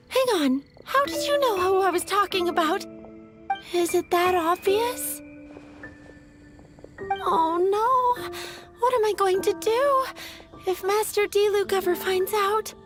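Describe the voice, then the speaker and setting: A woman's voice: very high-pitched, slow, long pauses. A woman playing a lovesick young flower girl in a video game, dreamy, flustered and hesitant. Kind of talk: video game character acting. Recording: music behind the voice.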